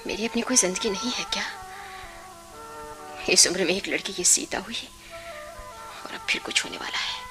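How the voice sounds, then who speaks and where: An actress in a radio play voicing a resolute, sharp-tongued young working daughter with a firm, outspoken manner. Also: female voice, medium pitch, moderate pace, long pauses, over music, close to an everyday voice. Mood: calm, resolute. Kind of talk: Hindi radio play acting.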